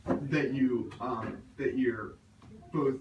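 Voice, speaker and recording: Male audience questioner, halting and informal, medium pitch, echoey distant pickup with background noise. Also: fairly even intonation, muffled recording. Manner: halting, searching phrasing. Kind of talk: casual question from the floor. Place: bookstore event space. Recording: distant, echoey, noisy room.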